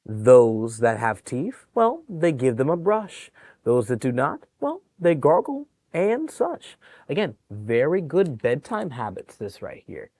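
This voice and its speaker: A man reads a bedtime picture book to young children with very animated, varied intonation. He breaks off for chatty, encouraging explanations. His voice is mid-pitched and the sound is dry. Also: takes it slowly, dry recording. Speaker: children's storytime reader at a public library.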